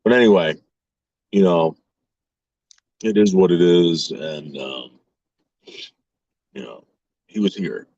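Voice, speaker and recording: A man's voice: low-pitched, expressive, takes it slowly, long pauses, a little mellow, no echo, lo-fi sound. A man in his forties hosting a casual chat while touring a historic site, joking offhand and trading mock insults.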